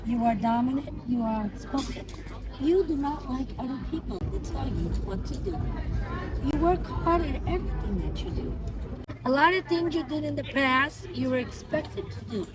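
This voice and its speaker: A woman's voice: sits high, expressive, normal speed, background noise. A woman in her fifties working as a psychic, giving readings in short, declarative statements outdoors. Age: 50s. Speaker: psychic card reader. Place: outdoors in a public square.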